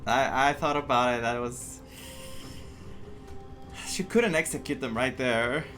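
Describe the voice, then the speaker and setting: Streamer reacting to a story game, mid voice, excitable, repeats stuff for emphasis, faint music behind. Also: medium pitch, a little slow. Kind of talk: casual gameplay reaction commentary.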